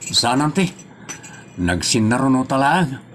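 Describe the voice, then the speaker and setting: A man's voice: mid-range voice, sing-song, a little slow, a little hiss or hum. A man playing a worried young husband in a comedy drama, questioning insistently with an Ilocano accent. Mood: earnest.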